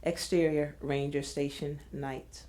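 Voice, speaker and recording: A woman's voice: sits low, level tone, slow pace, dark tone, slight background noise. A woman reading scene directions as a scripted narration.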